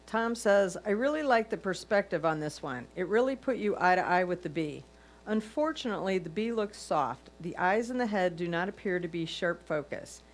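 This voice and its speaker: A woman's voice: lowish voice, lively intonation, normal speed. A woman serving as a competition reader, reading written photo critiques aloud. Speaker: reader of judges' comments.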